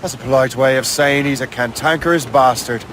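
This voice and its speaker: A man acting a brooding, sardonic Irish captain in a video game drama. He speaks in a mid-range voice, terse and dry, with clear sea and ship noise behind him. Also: medium pitch, a little fast, fairly bright tone, natural voice. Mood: dry, wry. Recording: waves and ship ambience behind voice.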